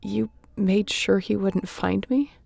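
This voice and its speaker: A woman playing a battle-weary spaceship captain in an intimate audio roleplay, speaking tenderly and guardedly, with a hushed, halting, earnest delivery. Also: mid-range voice, gravelly, no room sound, exaggerated voice. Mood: disbelieving, moved.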